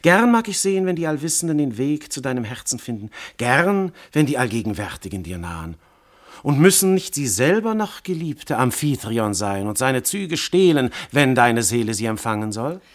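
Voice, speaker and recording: An actor playing a commanding, seductive god posing as a noble husband, declaiming classical radio verse in a theatrical, grandiose manner. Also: male voice, mid-range voice, very animated, moderate pace, natural voice. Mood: ardent persuasion. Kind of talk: classical German stage verse in a radio play.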